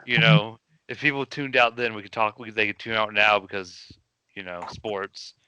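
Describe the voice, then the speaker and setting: A man's voice: fairly low pitch, steady tone, moderate pace, sharp tone, clean tone, no room sound, low-quality recording. A man co-hosting a podcast in casual chat, steering the topics with hesitant restarts. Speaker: podcast co-host.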